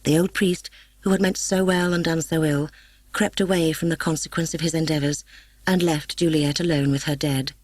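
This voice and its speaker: A woman reading a story as an audiobook narrator, expressive and solemn. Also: low voice, moderate pace, no room sound. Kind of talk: dramatic scripted story narration. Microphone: close studio microphone, dry.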